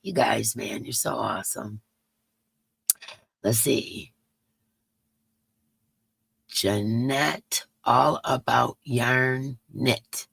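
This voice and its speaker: A woman with a raspy voice hosting a casual livestream in her yarn room, greeting chat viewers by name in a sing-song way and sounding emotional. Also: low voice, pushed and bright, no room sound. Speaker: livestream host.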